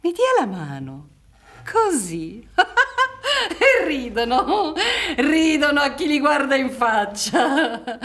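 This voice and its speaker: An actress in a radio drama playing a brisk, cynical village woman, theatrical, with drawn-out words and scornful laughs. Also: on the high side, a bit bright, full character voice.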